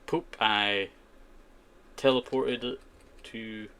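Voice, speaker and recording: A man's voice: on the low side, fairly even intonation, very slow, bright, forceful tone. A man streaming himself playing a survival game, chatting casually with viewers in a rambling way full of "you know" and "I tell you". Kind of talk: casual live-stream chat while playing, answering chat. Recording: keyboard clicks and typing behind the voice.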